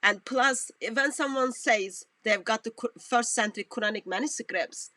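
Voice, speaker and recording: A woman commentator, not a native English speaker, talking through a clip in halting, self-correcting phrases. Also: a little high, bright, forceful tone, no room sound. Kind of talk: reaction commentary on a played clip.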